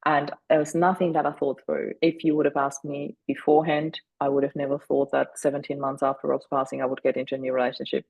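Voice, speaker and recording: A woman in her forties from Austria, a German speaker, who talks as a podcast guest and tells her story earnestly and deliberately, slowing down on key moments. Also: deep voice, little pitch movement, creaky, a little mellow, husky, no room sound, rough recording. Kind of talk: reflective storytelling.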